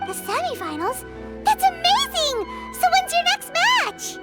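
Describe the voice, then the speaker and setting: Very high, squeaky childlike fairy companion. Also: female voice, sing-song, measured pace, sharp tone, faint music behind, heavily acted. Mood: excited. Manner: refers to self in third person, exclamatory. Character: tiny childlike female fairy companion, perky and chatty. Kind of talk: anime game voice acting.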